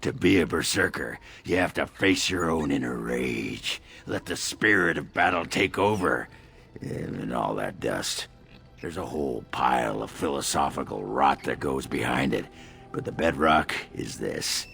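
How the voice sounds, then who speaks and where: An actor playing a gruff, crude, boozy dwarf warrior with a gravelly voice in a fantasy video game. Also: male voice, rumbling low, animated, unhurried, sharp tone, exaggerated voice. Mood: gruff, instructing. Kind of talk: video game character dialogue. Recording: music behind the voice.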